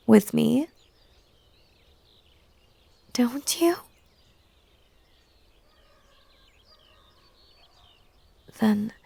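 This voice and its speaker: Casting: female actor as a possessive yandere bunny girl for audio roleplay; very unhurried, creaky, coaxing and hypnotic. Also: mid-range voice, dark tone, no room sound, heavily acted. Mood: pleading, wounded. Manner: slow, breathy, coaxing, slipping into whispers. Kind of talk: intimate audio roleplay acting.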